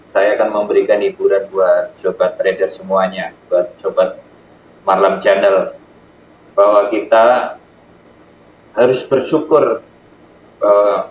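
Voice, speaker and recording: A man's voice: fairly low pitch, a little mellow, highs cut off. A man with an Indonesian accent casually presenting a video channel and dropping in short reaction comments. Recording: roomy, distant, noisy microphone.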